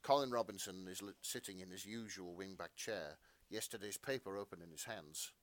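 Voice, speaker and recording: A man's voice: deep voice, a little fast, no echo. A man narrating fan fiction as a podcast co-host, in a melodramatic storytelling style.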